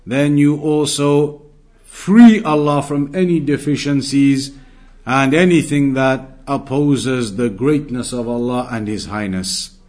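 A man teaching in a religious lecture, speaking in a deliberate, didactic way and repeating key phrases for emphasis. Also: medium pitch, steady tone, darkish tone. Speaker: Islamic teacher giving a religious lesson. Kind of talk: religious lecture, explaining Arabic texts in English.

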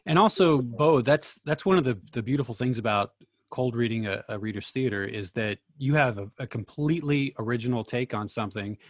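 A man's voice: medium pitch, brisk, dry recording, studio quality. A man moderating a casual feedback discussion in an encouraging way.

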